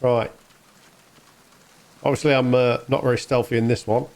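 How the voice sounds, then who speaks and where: A man giving casual, halting gameplay commentary as host of a gaming video. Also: medium pitch, little pitch movement, creaky, darkish tone.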